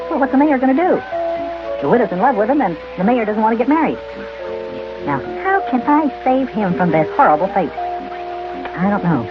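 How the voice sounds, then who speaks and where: An excitable, fretful little boy, with a pitch that swoops up and down. It is children's radio serial acting on a dull old recording, with music clearly behind. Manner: exclamatory, boyish, fretful.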